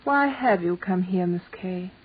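An actress playing a proper, wounded upper-class English wife in a 1940s radio drama, speaking formally and earnestly with restraint. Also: female voice, fairly low pitch, expressive, unhurried. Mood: hesitant, probing. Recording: tinny, noisy 1940s radio recording.

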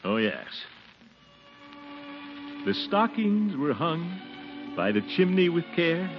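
An actor playing a pompous, doting middle-aged uncle with a blustery, chuckling manner in 1940s radio comedy style. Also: male voice, a little high, very animated, slow, music in the background, full character voice. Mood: grandly reciting. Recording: noisy, muffled 1940s radio recording.

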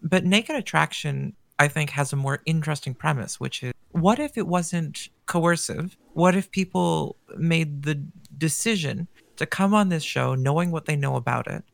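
A man's voice: fairly high pitch, normal speed, creaky, hardly any pauses, dry recording. A man co-hosting a podcast, giving his take on a dating show in a casual, thoughtful way with rhetorical questions. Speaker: podcast co-host.

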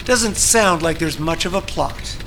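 A man's voice: a little high, measured pace, a bit bright, slight background noise. A man playing a prissy, evasive, exasperated publishing agent in an audio drama, speaking in a fussy, formal, condescending way. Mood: placating, impatient.

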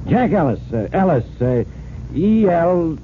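Stubborn cop, raised animated voice, blustering, grumbling, old radio drama, tinny hissy recording. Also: male voice, fairly high pitch, a little slow. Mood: exasperated. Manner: blustering, grumbling, sure of himself. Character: exasperated, stubborn police detective, adult man. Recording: tinny narrow-band vintage radio recording, hiss.

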